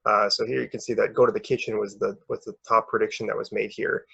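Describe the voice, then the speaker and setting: A young man presents research in a lowish voice that is heavily creaky and very rough. He explains things informally and hesitantly, often repeating a word before going on. The recording is somewhat dull and lo-fi. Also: little pitch movement, fast talker, fairly bright tone. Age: young adult. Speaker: researcher presenting a conference paper.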